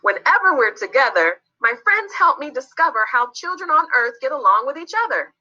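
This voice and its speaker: A woman voicing a curious, eager, naive young alien child in a children's storybook, earnest and excitable. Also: sits high, a little fast, lo-fi sound, pitched above the performer's own voice, full character voice. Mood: happy, chatty.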